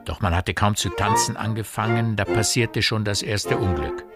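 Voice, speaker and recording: A man's voice: fairly low pitch, steady tone, moderate pace, loud music behind the voice. A man giving scripted literary narration as the storyteller of a radio drama.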